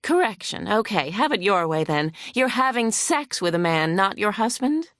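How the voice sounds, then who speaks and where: A woman's voice: mid-range voice, sing-song, moderate pace, fairly bright tone, no echo, clean studio sound, pitched above the performer's own voice. A woman voicing a huffy, sarcastic female lawyer cross-examining a witness in an audiobook. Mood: huffy, badgering.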